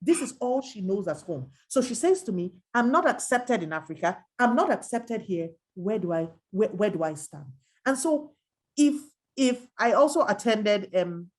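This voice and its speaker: Earnest emphatic woman from Nigeria. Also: on the high side. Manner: earnest, emphatic, quotes others in lively asides. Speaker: adult educator and researcher. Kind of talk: reflective dialogue.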